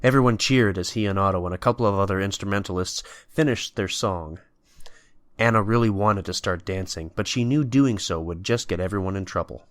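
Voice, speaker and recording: Casting: male narrator, low voice, scripted storytelling for an audio drama. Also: a little fast.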